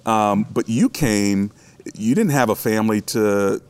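A man's voice: fairly low pitch, measured pace, a little mellow, smooth. A man hosting a church interview, pastoral and encouraging, turning to address listeners directly. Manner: pastoral, encouraging, prays aloud.

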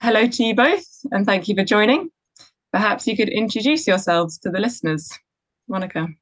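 Host: young woman, clear scripted delivery, dry close sound. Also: medium pitch, animated, brisk, no room sound. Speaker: podcast host, junior doctor. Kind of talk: podcast interview hosting.

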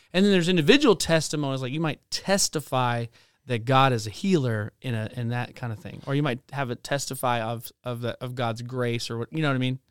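Playful pastor guest on a podcast. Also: male voice, mid-range voice, fairly bright tone, clean tone, dry recording, studio quality. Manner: playful, jokey, laughs often. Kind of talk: casual chat.

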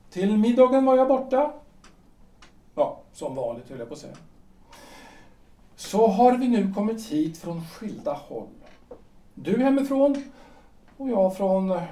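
An actor playing a stiff, reproachful husband in his forties in a period audio drama, halting and formal in delivery. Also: on the high side, warm tone. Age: 40s. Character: Gustav, stiff, reproachful 43-year-old lawyer husband. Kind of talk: period stage-play audio drama acting. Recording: echoey room, far from the mic.

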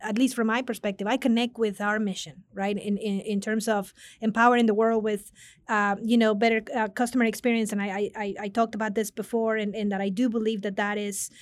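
A woman's voice: medium pitch, little pitch movement, brisk, no echo. A middle-aged woman from Mexico, a guest executive talking earnestly in an interview and often restarting her words. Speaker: guest, business consulting executive. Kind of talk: conversational interview.

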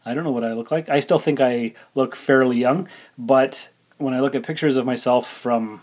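Man, forties, podcast host, casual monologue, informal, rambling, mid-range pitch. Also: normal speed, a little mellow. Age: 40s.

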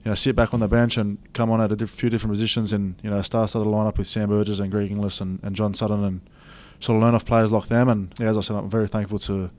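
A young man with an Australian accent giving relaxed, filler-heavy answers as an interview guest. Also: lowish voice, fast, few pauses. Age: young adult. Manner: laid-back, many fillers and restarts. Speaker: rugby league player, guest.

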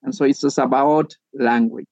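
Gravelly-voiced Kenyan man, dark tone. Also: mid-range voice, fairly even intonation, no echo, highs cut off. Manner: measured, methodical, with frequent pauses. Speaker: pastor and theology professor. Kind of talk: podcast interview guest.

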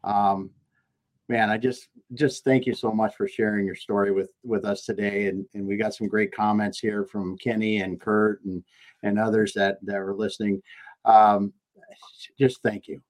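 A man hosting a casual interview, speaking haltingly and repeating words as he thinks. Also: fairly low pitch, level tone, a little mellow, poor audio. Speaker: podcast host.

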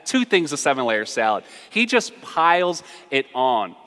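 An American man preaching a conversational, humorous sermon full of storytelling, emphatic and animated, repeating phrases for effect. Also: medium pitch, moderate pace. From southern United States. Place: large reverberant room, live before a congregation. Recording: reverberant hall.

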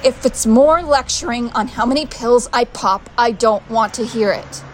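Audio drama role: female actor as high-pitched young woman, on edge, guarded, gloomy; slight background noise. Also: normal speed, close to an everyday voice. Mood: uneasy. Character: edgy, defensive young pill-dependent woman with bleak thoughts.

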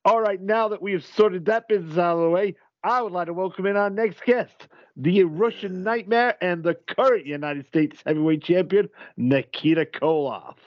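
Guy as a fed up wrestling radio host, highish voice, polite but stammery, dry sound. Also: normal speed, no room sound, dull audio, natural voice. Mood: politely annoyed. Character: exasperated, flustered male wrestling call-in show host. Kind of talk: comedic audio play acting.

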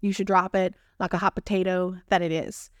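A woman in her thirties with a Texan accent, hosting a solo podcast in a playful, expressive way with casual slang. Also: lowish voice, fairly quick, clear, resonant tone, dry recording, studio quality. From Texas, United States. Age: 30s. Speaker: podcast host.

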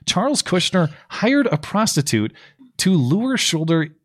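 A slightly hoarse man co-hosting a podcast, recapping political news in a casual, explanatory way with plenty of fillers. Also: high-pitched, very animated, measured pace, fairly bright tone. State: slightly hoarse, losing his voice. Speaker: podcast co-host.

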